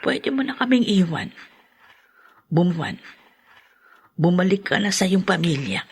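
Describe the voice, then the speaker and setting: A remorseful older mother acted by a woman in an audio drama. The voice is husky and worn, and she speaks haltingly and contritely, as someone seeking to make amends. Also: on the low side, very animated, full character voice. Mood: concerned.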